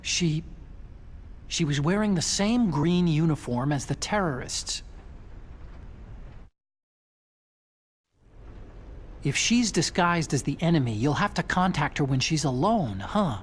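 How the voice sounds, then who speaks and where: Nerdy young american scientist in a game, lighter voice that goes up and down a lot, anxious, earnest. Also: male voice, a little high, animated, moderate pace, breathy edge. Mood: matter-of-fact. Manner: earnest, emotional, plaintive. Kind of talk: dramatic video game voice acting.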